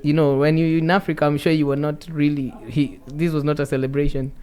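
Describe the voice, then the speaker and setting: A man's voice: fairly high pitch, little pitch movement, a little fast, dark tone, clean tone. A man with a Kenyan accent hosting a casual radio interview in a chatty way, mixing in Swahili phrases. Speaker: radio show host.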